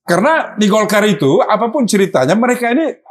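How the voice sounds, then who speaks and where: A high-voiced man with a Sulawesi accent talks quickly and animatedly as a politician on a talk show. His voice has a husky edge. He explains at length. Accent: Sulawesi Indonesian. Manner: animated, explanatory, lively asides. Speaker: politician guest.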